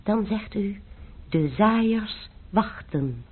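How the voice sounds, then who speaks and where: A woman's voice: mid-range voice, animated, extremely slow, husky, heavily acted. An actress playing a secretive young French lady who gives a man urgent, precise orders in a radio drama, on an old tinny recording.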